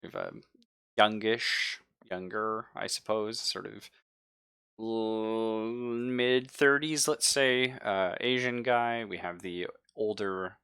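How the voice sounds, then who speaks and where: Low voiced guy running a tabletop rpg, bright punchy tone, dry sound, making it up casually as he goes. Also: fairly even intonation, slow pace, clear, resonant tone, dry recording. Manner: casual, hedging, talking through options with players. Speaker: game master. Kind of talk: improvised narration of a tabletop roleplaying game.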